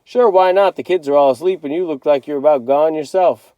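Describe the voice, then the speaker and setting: A man's voice: on the high side, a little fast, dark tone, dry recording, close to an everyday voice. A man reading a children's novel aloud as an easygoing, joking father with a folksy, reassuring manner. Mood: breezy.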